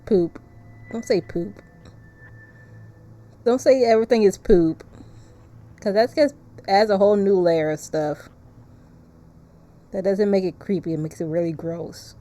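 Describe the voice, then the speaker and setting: A woman's voice: mid-range voice, measured pace, long pauses, dark tone. A woman streaming a visual novel, chatting casually and reading the story text aloud.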